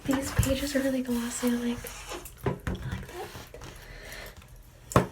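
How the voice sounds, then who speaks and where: A woman reading children's storybooks in a soft, breathy whisper, gently commenting on the pictures between pages. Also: sits high, level tone, slow, far from the mic, heavy background noise. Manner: gentle, with quiet asides on the pictures. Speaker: storybook reader.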